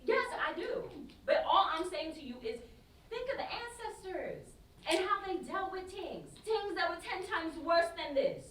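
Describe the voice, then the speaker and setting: A woman's voice: very high voice, animated, unhurried, fairly bright tone, exaggerated voice. An actress in a staged play reading, playing an exasperated, teasing young woman who talks in emphatic slang. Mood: earnest reasoning. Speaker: student actor in a staged reading. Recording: roomy live stage, distant mic, background noise.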